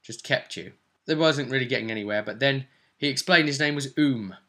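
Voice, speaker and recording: A man's voice: mid-range voice, fairly quick, pushed and bright. A man giving a casual, rambling recap of a tabletop role-playing session as the game master.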